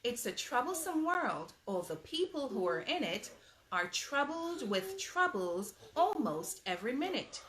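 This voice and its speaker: A woman reading a children's bedtime story aloud in an expressive, emphatic storybook manner, with asides to young listeners. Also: high-pitched, rough recording.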